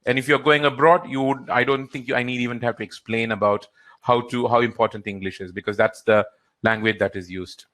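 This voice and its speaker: Man answering questions, halting. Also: mid-range voice. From India. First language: Hindi or Gujarati. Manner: relaxed, halting, with frequent fillers. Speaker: trainer. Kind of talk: answering audience questions in a live session.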